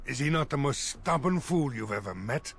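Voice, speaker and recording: Gravelly, wry village elder. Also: male voice, medium pitch, expressive, normal speed, fairly bright tone, heavily acted. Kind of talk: video game voice acting.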